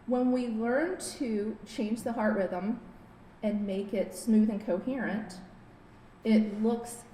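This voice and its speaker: A woman's voice: slow pace, warm tone. A middle-aged woman who works as a biofeedback therapist, giving a friendly, informal wellness talk with slides at a podium microphone in a conference room, with many fillers and restarts. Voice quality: says she has a very low voice. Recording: roomy hall, faint noise.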